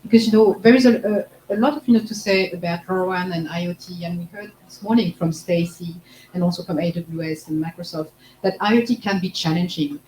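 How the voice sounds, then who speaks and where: A woman's voice: on the low side, steady tone, brisk, mellow. French-accented woman, chatty and filler-heavy. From France. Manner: heavy use of "like, you know" fillers. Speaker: panelist, marketing manager. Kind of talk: panel discussion. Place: conference panel room. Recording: echoey room, faint hum.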